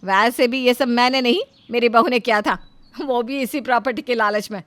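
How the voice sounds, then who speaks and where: A woman voicing a greedy, sharp-tongued middle-aged mother-in-law in a story, loud and dismissive. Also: fairly high pitch, fairly even intonation, normal speed, slight background noise, close to an everyday voice. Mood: scornful.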